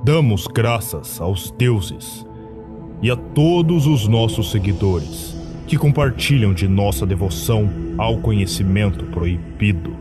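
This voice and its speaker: A male narrator plays a solemn cult priest addressing the faithful of ancient gods. The voice is low and very slow, with grave, ceremonial phrasing drawn out like a ritual. Music plays clearly behind it. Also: expressive. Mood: solemn reverence. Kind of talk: scripted ritual address in horror podcast.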